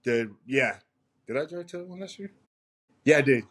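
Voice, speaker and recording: A man, a bodybuilder co-hosting a podcast, chatting in a relaxed, teasing way. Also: mid-range voice, normal speed. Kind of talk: casual chat.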